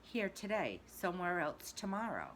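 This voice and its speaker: A woman voicing a boastful, excitable rich male toad in a read-aloud storybook, loud and gushing. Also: fairly low pitch, normal speed, slight background noise, voice pushed up. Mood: exuberant.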